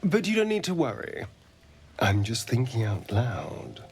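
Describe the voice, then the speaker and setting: A sly, refined vampire flirt from a video game. He speaks slowly in a theatrical, teasing drawl, his pitch swooping widely and sometimes dropping into breathy asides. Faint music plays behind him. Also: sing-song, darkish tone. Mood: apologetic, coy. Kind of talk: video game companion dialogue.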